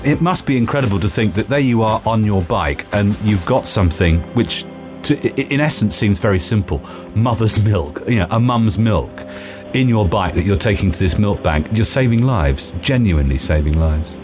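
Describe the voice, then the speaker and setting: A man's voice: lowish voice, lively intonation, normal speed, over music. A man hosting a radio phone-in show, questioning callers and guests in a chatty way.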